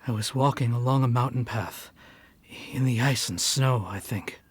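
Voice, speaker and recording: A man's voice: medium pitch, moderate pace, husky, lightly acted. A man voicing a drowsy, just-woken villager in a video game, sleepy and hesitant. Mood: groggy.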